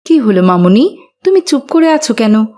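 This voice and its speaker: A woman voicing a gentle, caring mother who patiently teaches morals in a children's cartoon. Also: medium pitch, sing-song, normal speed, close to an everyday voice.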